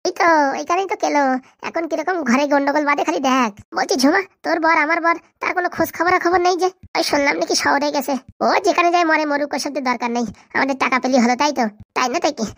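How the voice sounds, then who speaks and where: A woman's voice: high-pitched, fast, a bit bright, no echo, clean studio sound. A woman voicing a money-loving, mischievous village schemer in a comedy cartoon, conspiratorial and callous. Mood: gleeful plotting. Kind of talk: amateur comedy cartoon dialogue.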